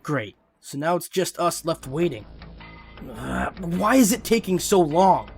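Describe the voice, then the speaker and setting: A man's voice: on the high side, big pitch swings, unhurried, vocal fry, soft background music, exaggerated voice. A man playing a hot-headed, irritable teenage boy training as a hero in an audio drama. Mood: irritated.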